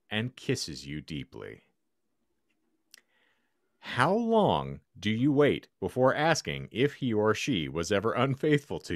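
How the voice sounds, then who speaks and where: A man's voice: on the low side, no room sound. Guy podcast host, theatrical and wry, voice swings all over, dry close sound. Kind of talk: reading a scenario aloud, podcast banter. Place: small home room, indoors.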